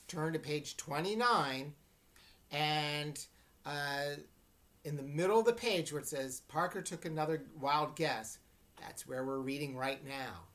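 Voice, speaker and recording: A woman teacher giving an informal read-aloud lesson, explaining things in an unhurried way and checking that listeners follow. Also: low-pitched. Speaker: English teacher reading aloud to students.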